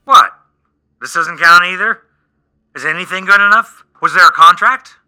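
A man's voice: sits high, moderate pace, bright, forceful tone. A man playing a blunt stoner friend in a radio play, direct and profane, supportive but exasperated. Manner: profane, direct, pep-talking. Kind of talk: naturalistic comedic radio play acting.

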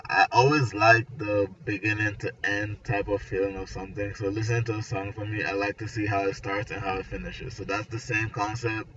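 Male, twenties, lowish bright voice, informal and conversational, for a relaxed peer discussion. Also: fairly quick, hardly any pauses. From Nigeria. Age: 20s. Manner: conversational, peppered with "like" and "you know". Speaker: architecture graduate discussing his background and creative work. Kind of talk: casual conversation. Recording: distant mic, muffled, noisy ambience.

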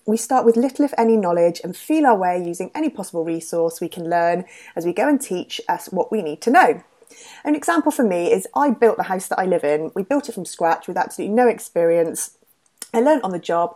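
A chatty woman hosts a podcast, her voice rising and falling in a lively, animated way. She talks casually and loosely, with plenty of fillers and asides. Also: lowish voice. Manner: chatty, warm-up rambling with fillers. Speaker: podcast host. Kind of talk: casual interview chat.

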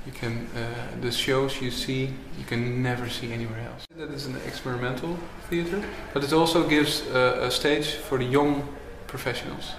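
A man's voice: mid-range voice, steady tone, normal speed, few pauses, roomy sound, long reverb tail, background noise. A man, a theatergoer, speaking hesitantly with fillers in a casual interview.

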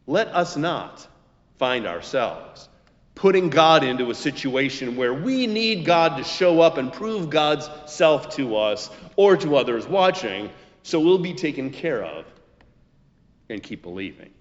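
A man preaching a scripted sermon as a pastor, with measured, emphatic phrasing and deliberate pauses. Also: on the high side, big pitch swings. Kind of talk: scripted expository sermon with quotations. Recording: muffled recording in a reverberant hall.

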